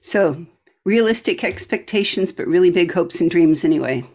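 A woman's voice: lowish voice, animated, moderate pace, a little mellow. A woman speaking as a podcast host, in an informal interviewing and announcing style.

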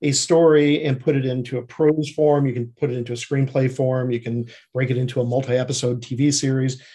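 A man's voice: medium pitch, flat delivery, a little fast, dark tone, breathy edge. A man speaking as an interview guest, explaining his writing work in a conversational way. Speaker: guest screenwriter and fiction writer.

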